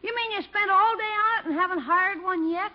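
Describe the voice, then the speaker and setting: A man's voice: extremely high pitch, fairly quick. A male actor voicing a cheeky, wisecracking young nephew in a sassy 1950s radio comedy style. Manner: sassy, incredulous. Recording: muffled noisy vintage radio recording.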